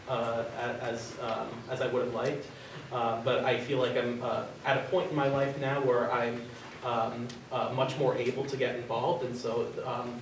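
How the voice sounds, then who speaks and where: A man's voice: medium pitch, noisy background. A man running for local office, answering hesitantly with many ums. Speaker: candidate. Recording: very echoey hall, distant mic.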